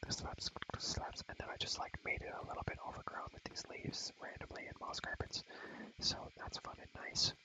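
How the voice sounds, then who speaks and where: A man's voice: normal speed, pushed and bright, rough recording. A young man whispering breathily into a close mic, rambling slangy ASMR gameplay commentary and repeating phrases over and over. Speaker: ASMR gamer and host.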